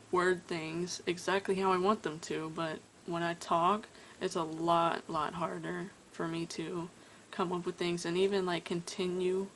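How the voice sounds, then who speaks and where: A woman's voice: lowish voice, slight background noise, rough recording. A woman vlogging at home to her camera, stuttering and stopping mid-sentence to think. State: tired. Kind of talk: casual talk to camera. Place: at home indoors.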